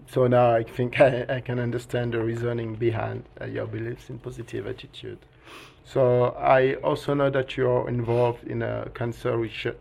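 Male podcast host, hesitant with fillers, gravelly and monotone. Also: mid-range voice. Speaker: podcast host, PhD student. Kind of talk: podcast interview.

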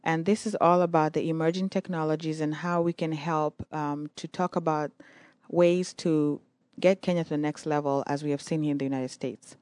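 A woman's voice: sits low, fairly even intonation, moderate pace, darkish tone, no echo. A young adult woman hosting an interview, asking polite, brief questions. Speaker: interviewer, host.

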